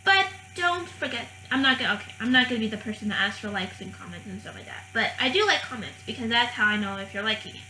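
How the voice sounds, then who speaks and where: Young woman vlogger, native French speaker, bright higher voice, rambling casual delivery. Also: animated, quick pace, few pauses, slight background noise. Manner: rambling, with many fillers and restarts. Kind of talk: casual talking-head vlog. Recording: echoey room.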